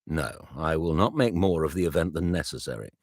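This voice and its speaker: A man voicing a reserved young gentleman who speaks in a clipped, formal manner in a story reading. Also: rumbling low, animated, brisk, husky, no room sound, exaggerated voice.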